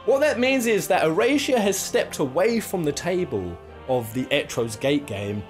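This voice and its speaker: A man's voice: a little high, lively intonation, moderate pace. A man giving casual, opinionated video commentary as a host, rambling and emphatic, with a bit of swearing. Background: instrumental music.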